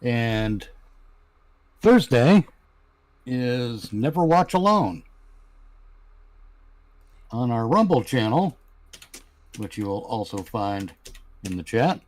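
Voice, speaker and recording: A man chatting informally and haltingly as a panel member on a live stream. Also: medium pitch, sing-song, a little mellow, no room sound. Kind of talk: casual live stream chat.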